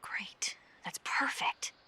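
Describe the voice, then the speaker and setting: A woman's voice: slow pace, bright, forceful tone, in a whisper. An actress playing a cool young female spy in a video game, speaking knowingly to her partner. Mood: exasperated. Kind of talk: dramatic video game cutscene acting.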